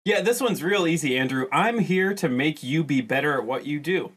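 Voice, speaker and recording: A man on a comedy podcast improvising a glib corporate sales pitch as a panelist. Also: lively intonation, normal speed, a bit bright. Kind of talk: improvised comic pitch and banter.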